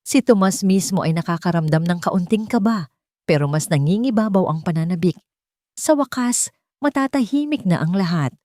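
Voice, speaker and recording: A woman narrates a dramatic story in a fairly low voice. Her delivery is scripted, measured and deliberate, with each phrase set out carefully. The sound is dry, with very little room. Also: animated, dark tone, no room sound.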